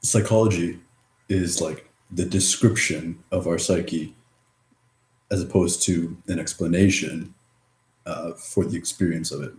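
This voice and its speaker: A man giving a rambling, reflective vlog monologue, mumbling and halting, with drifting pauses between phrases. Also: deep voice, fairly even intonation, a little mellow. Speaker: vlogger. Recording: roomy echo.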